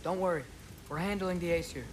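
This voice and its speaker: An actor playing a curious, wry young son in a video game, casual and inquisitive. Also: male voice, high voice, fairly even intonation, brisk, noisy background, lightly acted. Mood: wry, assured.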